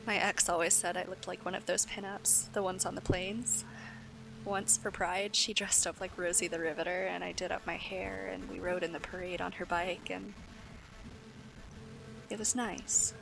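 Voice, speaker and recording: A woman playing an anxious, housebound woman who confides in halting, self-deprecating chatter over a radio broadcast. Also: fairly low pitch, level tone, creaky. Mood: wistful. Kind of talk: post-apocalyptic radio broadcast monologue. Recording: noisy radio transmission, ambient hiss.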